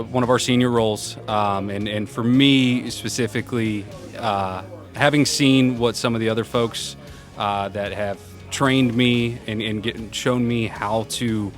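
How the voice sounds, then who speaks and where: A young man with a loud voice, answering interview questions casually at a conference and laughing often. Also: mid-range voice. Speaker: event program manager, interview guest. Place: conference venue.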